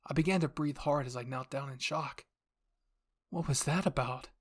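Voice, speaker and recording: Storyteller narrating anime fanfic, middle pitch, dramatic and suspenseful, goes softer on tense bits. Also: normal speed, breathy edge. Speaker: narrator and voice actor of all characters. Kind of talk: dramatic first-person fan fiction narration.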